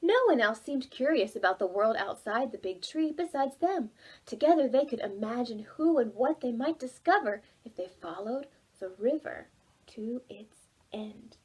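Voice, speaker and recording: A woman storytime reader with a high, very animated voice. She tells a picture book to preschoolers at an unhurried pace, with dramatic expression. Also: slightly rough. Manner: animated, dramatic, asks listeners questions. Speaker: children's library storytime reader.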